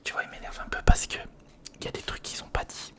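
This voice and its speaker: An ASMR creator speaking in a breathy, unvoiced whisper, casually muttering and thinking aloud through a hands-on build. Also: quick pace.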